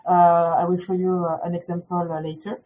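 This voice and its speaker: Mellow-voiced woman presenting slides. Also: on the low side, little pitch movement, fairly quick, rough recording. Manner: hesitant, many filler sounds. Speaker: school presenter at a webinar.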